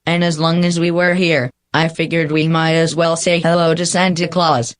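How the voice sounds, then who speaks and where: A woman's voice: low-pitched, flat delivery, moderate pace, no echo, close to an everyday voice. A woman playing a friendly, apologetic neighbor in a radio drama, easygoing in manner.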